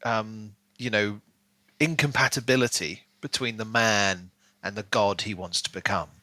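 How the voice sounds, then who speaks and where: A man's voice: lowish voice, steady tone, a little slow, pushed and bright, no echo. A man co-hosting a podcast, talking casually through a book and acting out imagined bits of dialogue as he explains. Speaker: podcast co-host.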